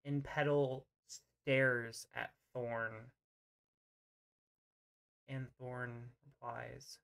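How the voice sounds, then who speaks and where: A game master narrating an improvised tabletop roleplay over an online voice call, often pausing mid-sentence. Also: mid-range voice, little pitch movement, vocal fry, a bit bright.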